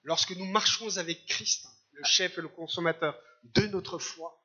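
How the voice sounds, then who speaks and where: A man from Algeria preaching a church sermon in short phrases, pausing for an interpreter. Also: high voice, bright, forceful tone, dull audio. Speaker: guest preacher. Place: church service.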